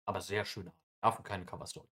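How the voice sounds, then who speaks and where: A young man co-hosting a podcast, chatting casually through a comic chapter with lots of fillers and quick asides. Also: deep voice, flat delivery, sharp tone. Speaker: podcast co-host.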